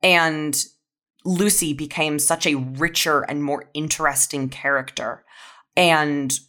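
A woman's voice: sits low, fairly even intonation, slow, a bit bright. A young adult woman talking candidly and informally as a writer being interviewed, reflecting on their own work. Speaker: audio fiction writer. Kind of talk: reflective interview talk about own writing.

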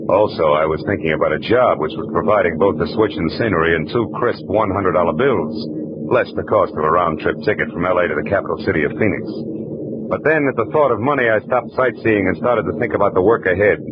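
A man plays a hard-boiled private eye from an old radio drama, narrating in a dry, wry way. His voice sits very low. The muffled, hissy 1940s broadcast recording dulls the sound. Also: fairly quick, natural voice. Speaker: narrator and lead.

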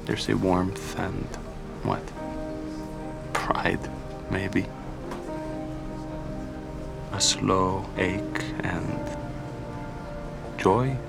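Deep, slow, wistful reflective man. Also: flat delivery, gravelly, over loud music, exaggerated voice. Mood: wistful, content. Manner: measured, halting, contemplative. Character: wistful, reflective man quietly confiding to Sarah. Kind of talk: intimate dramatic monologue.

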